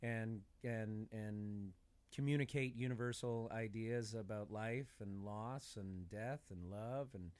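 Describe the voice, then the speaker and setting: A man's voice: lowish voice, takes it slowly, darkish tone. A man chatting casually as a podcast guest, earnest and conversational, piling up points as he goes. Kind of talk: casual chat.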